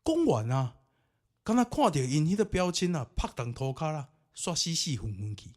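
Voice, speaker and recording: A man's voice: fairly high pitch, big pitch swings, moderate pace, darkish tone. A man with a Taiwanese accent narrating ghost stories and commenting on them in a theatrical, colloquial way. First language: Taiwanese Hokkien. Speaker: podcast host and storyteller.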